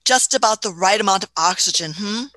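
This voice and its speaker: A woman's voice: medium pitch, brisk, pushed and bright, no echo, lightly acted. A woman playing an elderly, curious, imperious time-travelling scientist in a radio play, hemming and musing as she goes. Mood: pleased. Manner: hemming, musing, punctuating with "hmm" and chuckles.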